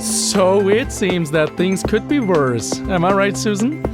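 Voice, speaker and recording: A woman's voice: medium pitch, expressive, takes it slowly. A woman playing a breezy, jokey news co-presenter in an audio drama broadcast. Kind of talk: scripted news broadcast parody. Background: music.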